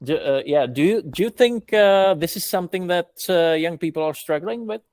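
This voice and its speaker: A man interviewing a guest, asking questions hesitantly with many pauses. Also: high voice, animated, darkish tone, dry recording. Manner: hesitant, many fillers and restarts.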